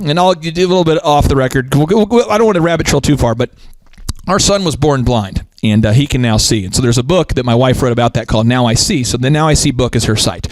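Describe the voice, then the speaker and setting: A male host of a business talk show who talks emphatically in a preachy, motivational way, often restarting and repeating words. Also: no room sound. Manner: swoops up into high pitch for emphasis.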